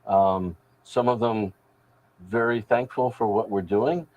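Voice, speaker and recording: A man speaking as a station manager in a news interview, explaining things in a measured way. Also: fairly low pitch, steady tone, phone-quality sound. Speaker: radio station general manager.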